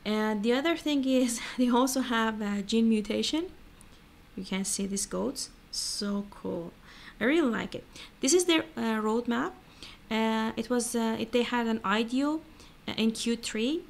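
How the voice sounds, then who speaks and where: A woman's voice: on the high side, measured pace. A woman giving a casual, hesitant and rambling screen-share walkthrough as an online vlogger. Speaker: crypto vlogger. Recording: clear background noise.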